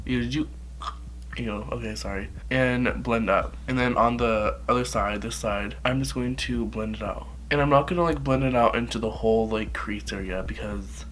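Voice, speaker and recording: A man's voice: mid-range voice, normal speed, a bit bright. Brief: young male makeup vlogger, sick and nasal, casual profane rambling, home camera mic, faint hum behind. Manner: rambling, profane, filler-heavy.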